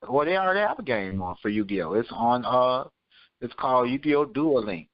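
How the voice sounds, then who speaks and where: A man's voice: mid-range voice, animated, normal speed, no echo, muffled recording. A man hosting a podcast, talking casually with his co-hosts and listeners. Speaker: podcast host. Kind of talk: casual podcast chat and sign-off.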